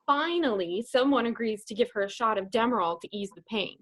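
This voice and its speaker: A woman narrating comedy with plenty of sass. Her pitch is on the high side and her intonation is very varied. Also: animated, fairly quick, a bit bright. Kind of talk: sassy comedic scripted narration.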